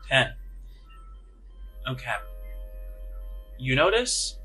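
A man's voice: mid-range voice, sing-song, drawn-out words, bright, forceful tone, soft background music. A man narrating a tabletop role-playing game as game master in a conversational, descriptive, improvised way.

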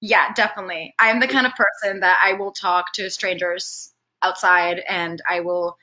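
A woman's voice: on the low side, poor audio. A woman from Venezuela with Spanish as her native language, talking casually and expressively as an interview guest. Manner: chatty, expressive, quick to laugh. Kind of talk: casual conversational interview, personal stories.

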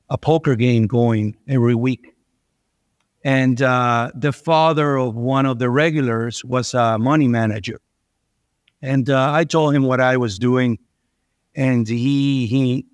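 A man's voice: mid-range voice, smooth, no room sound, clean studio sound. A middle-aged man from Venezuela, a guest answering interview questions with many fillers and restarts. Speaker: investment manager guest.

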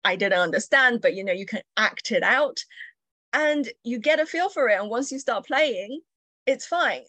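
A woman's voice: a little high, lively intonation, moderate pace, pushed and bright, dry recording. A woman from China, an entrepreneur, telling her story in an interview with light laughter. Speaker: entrepreneur guest.

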